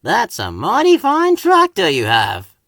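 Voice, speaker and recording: A man's voice: high-pitched, huge pitch swings, measured pace, sharp tone, no room sound, studio quality, voice pushed up, heavily acted. A man voicing a big, friendly, helpful giant steam engine with a hearty, folksy manner in a children's storybook. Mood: kindly, concerned.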